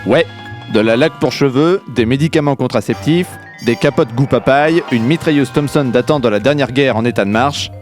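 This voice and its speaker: A man's voice: mid-range voice, moderate pace, close to an everyday voice. Man playing a bumbling, squeamish police inspector. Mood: matter-of-fact. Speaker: narrator and character in audio comedy. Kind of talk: comedic audio drama acting. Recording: music under the voice.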